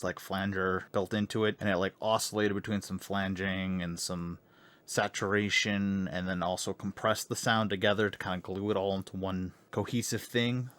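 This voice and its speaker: Deep-voiced man explaining his sound design. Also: no echo. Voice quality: deep bass voice. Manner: loose, rambling explanation with many fillers. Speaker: music producer. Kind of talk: casual tutorial talk.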